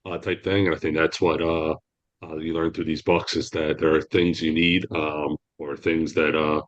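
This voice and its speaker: A man with a very deep, low voice answers interview questions as a guest author. He rambles and halts, restarting his sentences often. The audio sounds dull and lo-fi. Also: level tone. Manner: rambling, halting, lots of restarts.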